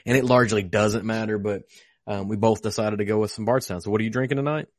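Quick, wry man from Western Kentucky. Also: on the low side, fairly even intonation, dry recording, clean studio sound. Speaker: podcast host. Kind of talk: casual chat over whiskey.

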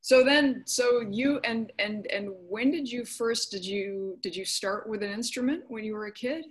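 A woman's voice: a little high, normal speed. A woman hosting an interview, encouraging her guest, affirming often and laughing easily. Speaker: interview host. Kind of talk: conversational interview.

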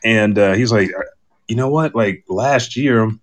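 A middle-aged man with a very low-pitched voice talks as a podcast guest. He tells personal stories in a casual, conversational way, quoting exchanges as he goes. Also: a little slow, slight background noise.

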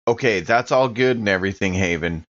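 A man's voice: on the low side, fairly quick, fairly bright tone. A man chatting casually in character as a player in a tabletop role-playing game. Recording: slightly dull, band-limited audio.

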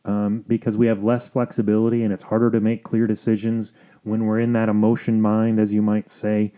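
A man hosting an advice podcast, explaining things in a measured, sometimes halting way. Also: fairly low pitch, completely flat delivery, dull audio. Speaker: podcast host.

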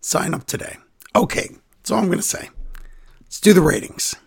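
A man hosting a casual wrestling podcast, hoarse and raspy from laryngitis, his voice sometimes dropping to a whisper. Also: high-pitched, animated, moderate pace. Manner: slips into whispers. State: hoarse from laryngitis, voice coming back. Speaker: podcast host.

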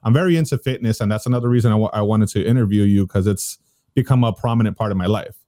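A man's voice: lowish voice, quick pace, mellow, dry recording, studio quality. A man in his thirties interviewing in an informal, agreeable, rambling conversational way. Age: 30s.

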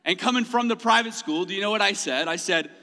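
Male pastor, higher voice, very bright and forceful tone, self-mocking humor, echoing sanctuary. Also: fast talker. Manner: humorous self-deprecating storytelling; laughs often mid-sentence. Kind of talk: sermon. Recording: long hall reverb.

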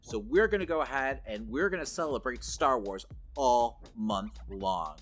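A man's voice: medium pitch, animated, a little slow, fairly bright tone, faint music behind. A man co-hosting a casual pop culture podcast, talking conversationally with lots of "like" and "you know". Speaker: podcast co-host.

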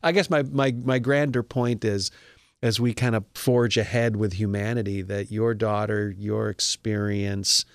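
A man's voice: on the low side, a little slow, no room sound. Rambling man musing in a dry room. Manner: rambling, musing, animated asides. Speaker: podcast host. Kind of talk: casual conversational chat.

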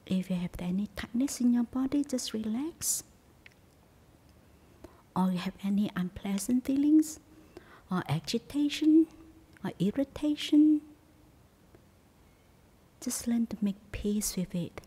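Breathy, near-whispering woman guiding meditation. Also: a little high, expressive. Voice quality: soft, breathy, husky, often near whisper. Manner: gentle, soothing, unhurried instruction with long pauses. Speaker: meditation teacher. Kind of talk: guided meditation.

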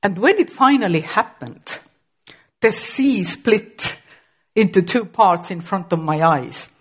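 A woman's voice: medium pitch, expressive, breathy edge. Estonian woman preaching in english, middle-aged, takes her time, restarts now and then. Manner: slow, careful delivery with occasional restarts. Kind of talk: sermon.

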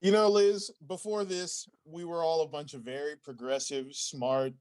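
A man's voice: a little high, level tone, normal speed, darkish tone, pitched below the performer's own voice, natural voice. A man improvising a goofy, incredulous astronaut in a bantering comedy radio sketch. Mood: mock blaming. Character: goofy, incredulous male astronaut arriving on Mars.

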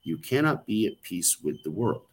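A man's voice: lowish voice, very animated, normal speed. A middle-aged man talking on a podcast in a casual, blunt way, swearing freely and restarting his sentences. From United States. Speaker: podcast host. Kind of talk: casual chat.

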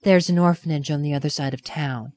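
A woman's voice: deep voice, a little fast, dark tone, lightly acted. A woman voicing an arrogant young male sword master who talks in a dry, curt, sarcastic way. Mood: sardonic.

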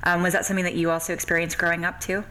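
A woman's voice: lowish voice, flat delivery, rapid-fire, pushed and bright. An American woman speaking as the host of a podcast. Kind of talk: conversational podcast interview.

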